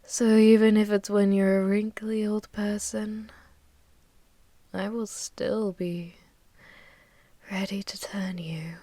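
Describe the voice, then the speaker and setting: An ancient, ruthless vampire mistress, acted by a woman for an ASMR audio roleplay. She speaks slowly and softly into a close, dry microphone, often sinking into breathy whispers. Her manner is haughty and possessive, with a teasing, seductive edge. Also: medium pitch, level tone, no echo. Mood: tender, teasing. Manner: slow, teasing, haughty, commanding. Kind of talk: intimate ASMR audio roleplay, monologue to listener.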